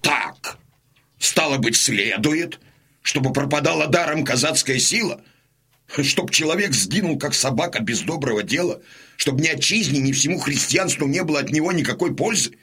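An actor playing a hot-headed, stubborn old Cossack warrior in an audio drama, gruff-voiced, blunt and insistent. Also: male voice, on the high side, animated, moderate pace, sharp tone, exaggerated voice. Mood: indignant.

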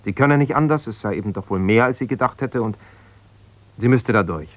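An actor playing an aggrieved, jealous husband, an ambitious lawyer, in a naturalistic radio drama, talking in a halting, colloquial, self-justifying way as if confiding on the phone. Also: male voice, medium pitch, close to an everyday voice. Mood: resigned, frustrated.

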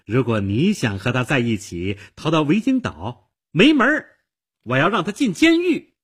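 A storyteller voicing a jealous, indignant woman who makes loud, emphatic accusations in an audiobook-style tale. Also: low-pitched, big pitch swings, moderate pace, breathy edge, pitched above the performer's own voice, full character voice. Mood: furious, accusing.

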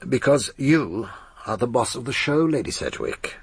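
An actor playing a shrewd, bulky chief inspector in a British radio drama, with an English accent and a gruff, knowing manner. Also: male voice, mid-range voice, very animated, moderate pace, dry recording. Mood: knowing, accusing. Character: shrewd, bulky male police chief inspector, blunt and assured.

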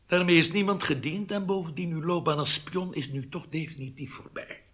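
Male actor, menacing intelligence interrogator, forceful bright tone, high-pitched man, deliberate commands, radio drama. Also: close to an everyday voice. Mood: stern, demanding.

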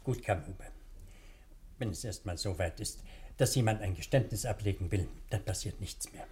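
An actor playing a composed senior judge in a German radio play, speaking formally and with measured authority. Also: male voice, fairly low pitch, a little mellow, a little hiss or hum, close to an everyday voice. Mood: composed, discreet.

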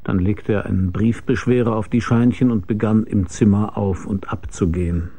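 A man's voice: lowish voice, fairly even intonation, normal speed, mellow. An actor playing a hard-boiled, laconic private detective who narrates his own case in a German radio crime drama. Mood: brooding, wry. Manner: laconic, dry, probing.

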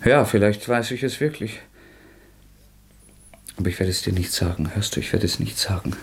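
An actor in a radio play as a proud, guarded young suspect who speaks abruptly and insistently. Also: male voice, lowish voice, moderate pace, a little hiss or hum, close to an everyday voice. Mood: troubled. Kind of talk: German radio play acting.

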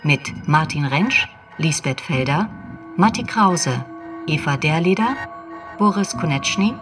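Woman, announcer, scripted credits, slow pace, middle pitch, music behind. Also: expressive. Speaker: announcer of radio play credits.

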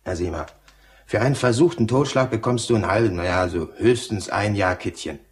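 An actor playing a cynical, gruff prison cellmate in a radio drama, with a colloquial, mocking, know-it-all manner. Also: male voice, on the low side, fairly quick, natural voice. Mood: scornful lecturing. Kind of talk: German radio drama acting.

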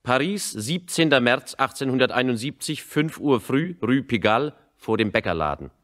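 A man reads as a radio-play narrator with a middling pitch. He announces the scene soberly, in a scripted, formal manner. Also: expressive, moderate pace, a bit bright, soft background music, lightly acted.

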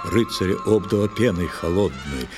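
A man narrating a children's audio play in verse with expressive, measured, dramatic storytelling. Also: sits low, music in the background.

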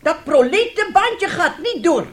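A woman's voice: very high-pitched, very animated, measured pace, exaggerated voice. A woman acting in a radio drama as a bitter, domineering, self-pitying mother, scolding melodramatically. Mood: indignant, self-pitying.

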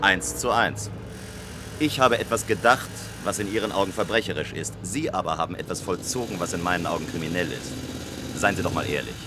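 An actor playing a proud, sceptical tower builder in a radio play, speaking in a pointed, argumentative way. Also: male voice, sits low, fairly quick, noisy background, close to an everyday voice. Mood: defiant.

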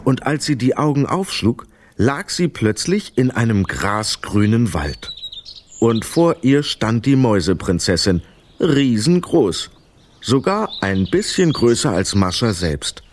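A man narrating a scripted children's story in an expressive, vivid storytelling manner. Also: mid-range voice, unhurried, a little hiss or hum.

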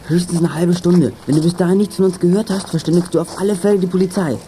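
Young male as mellow, level-headed boy detective. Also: sits high, steady tone, fast, slight background noise, natural voice. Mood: focused, decisive. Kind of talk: German children's radio play acting.

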